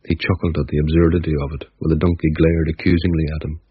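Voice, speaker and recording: A man narrating a radio drama in a measured, reflective storytelling manner. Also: a bass voice, little pitch movement, no room sound. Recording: husky voice on dull radio recording.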